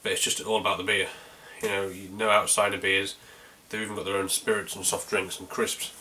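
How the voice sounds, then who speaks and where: A man's voice: deep voice, level tone, a little fast, sharp tone, slight background noise, low-quality recording. A man with a North Yorkshire accent chatting casually through a beer review, rambling a little with plenty of ums and restarts. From North Yorkshire, England.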